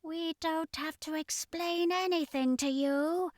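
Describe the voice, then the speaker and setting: Woman voicing an imperious, high-pitched alien monster emperor. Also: fairly even intonation, dry recording, clean studio sound. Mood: angry, menacing. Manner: slow, deliberate, imperious delivery. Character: vengeful, proud octopoid alien emperor, male monster tyrant. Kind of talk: audiobook character voice.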